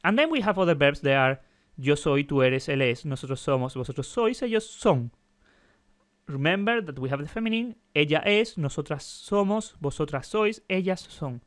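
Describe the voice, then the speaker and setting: A man from Spain with a Spanish accent, who is sick and coughing, teaches his native language in halting, self-correcting English. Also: on the high side, expressive, no echo. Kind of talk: casual video language lesson.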